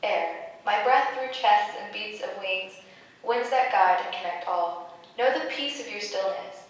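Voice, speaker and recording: A woman's voice: medium pitch, measured pace, close to an everyday voice. A woman playing a solemn, nurturing nature goddess in a staged play reading, speaking in a stately, incantatory way. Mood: solemn, tender. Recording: very echoey room, distant mic, background noise.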